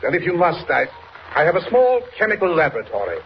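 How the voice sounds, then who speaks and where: Older gentleman scientist, genteel, evasive, easily affronted; animated intonation, vintage radio drama with audible noise. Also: on the high side, fast. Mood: hesitant, explaining. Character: absent-minded, evasive, fussy male scientist, meteorologist. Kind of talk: 1940s radio drama acting. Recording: muffled, noisy vintage radio recording.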